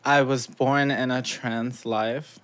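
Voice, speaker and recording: Young adult woman, podcast guest, animated, laughs a lot, boom mic, small studio. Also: takes it slowly. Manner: animated, laughs often, thinking aloud. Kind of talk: casual conversation. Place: small studio room with brick wall. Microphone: studio mic on boom arm, headphones.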